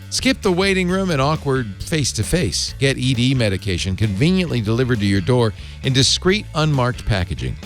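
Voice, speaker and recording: A man hosting a tech news talk show, joking with guests and reading sponsor spots briskly. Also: medium pitch. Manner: animated, jokey, reads ads briskly. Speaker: host.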